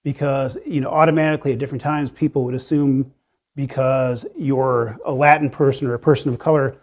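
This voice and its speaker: Man, fifties, mortgage lender panelist; mid-pitched, earnest, reflective storyteller. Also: mellow. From USA. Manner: earnest and reflective, many "you know" fillers. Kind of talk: panel discussion, personal stories.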